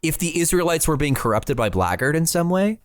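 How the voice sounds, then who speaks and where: A man's voice: fairly high pitch, very animated, fairly quick, no room sound, clean studio sound. A man co-hosting a podcast, chatting casually and jokingly. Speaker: podcast co-host.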